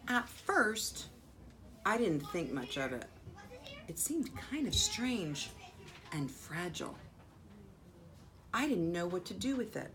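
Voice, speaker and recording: Low-voiced, creaky American woman reading a storybook. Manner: dramatic pauses, drawn-out words, drops to hushed tones. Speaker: school principal reading a bedtime story. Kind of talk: expressive read-aloud of a children's picture book. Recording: faint background noise.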